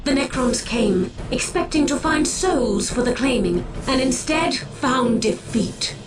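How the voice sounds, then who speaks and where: An actress playing a solemn, commanding alien war seer in a video game, speaking gravely and formally with theatrical weight. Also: female voice, high-pitched, animated, measured pace, music in the background. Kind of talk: dramatic video game voice acting.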